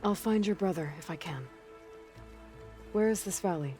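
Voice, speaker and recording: A woman's voice: fairly low pitch, moderate pace, warm tone, faint music behind, lightly acted. A woman playing a determined, matter-of-fact young heroine in a video game cutscene. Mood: curious, matter-of-fact.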